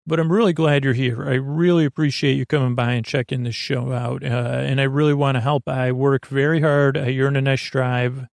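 Male bedtime podcast host: creaky, fry-laden voice, rambling and halting delivery full of digressions, very dry sound. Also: mid-range voice, steady tone, dry recording. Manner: meandering, hesitant, repetitive, digressive.

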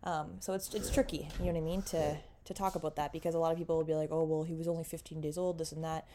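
A woman's voice: deep voice, flat delivery, fast, noisy background. A young woman with a Canadian accent hosting a casual podcast, chatty and giggly, with lots of fillers and restarts. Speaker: podcast host.

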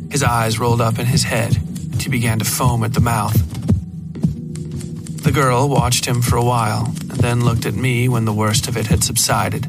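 Unhurried man narrating horror over prominent music. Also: medium pitch. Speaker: story narrator. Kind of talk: scripted horror fiction narration.

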